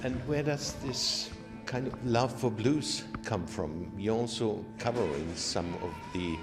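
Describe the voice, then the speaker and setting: A man's voice: fairly low pitch, a little slow, over loud music. A man interviewing a band, asking questions with some hesitation and fillers.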